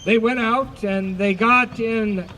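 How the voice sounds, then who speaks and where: A man with a very high voice narrates a scripture dramatization outdoors. He takes it slowly and deliberately, projecting each phrase, with faint outdoor noise and birds behind him. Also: fairly even intonation, bright, forceful tone. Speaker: narrator of an Easter service dramatization. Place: outdoors by a lake. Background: birds and outdoor ambience.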